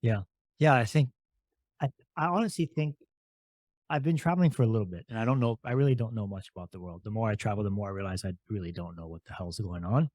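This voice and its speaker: A man's voice: fairly low pitch, quick pace, darkish tone, no room sound, clean studio sound. Rambling laid-back podcast host man. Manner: rambling, loose and conversational. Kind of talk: casual interview talk.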